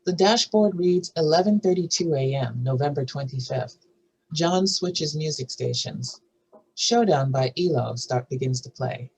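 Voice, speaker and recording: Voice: mid-range voice, lively intonation, unhurried, gravelly, a little mellow, husky, rough recording. A narrator reading out stage directions and scene headings in a scripted table read.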